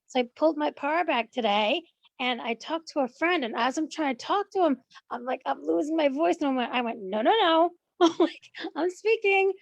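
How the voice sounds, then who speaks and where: A woman guest chatting casually, telling her own experiences in an animated way and acting out what she said to herself. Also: high voice, moderate pace, gravelly, no echo, dull audio. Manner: animated storyteller, acts out her own inner quotes, laughs often. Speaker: guest client. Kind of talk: casual conversation.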